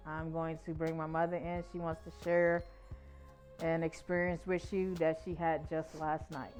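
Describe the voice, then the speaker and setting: A woman's voice: low voice, flat delivery, normal speed, a little mellow, soft background music. A woman in her forties hosting a podcast, speaking in an earnest, uplifting way. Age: 40s. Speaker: podcast host. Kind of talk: podcast introduction and conversation.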